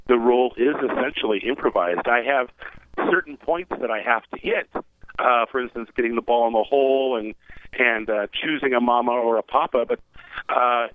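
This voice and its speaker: A male guest phones in to a radio show and spins animated stories about his stage work, laughing as he goes. The sound is a thin, tinny telephone line, and his voice sits in a middle register. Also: medium pitch, slight background noise. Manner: animated storyteller who laughs while talking. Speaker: guest performer. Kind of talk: phone interview.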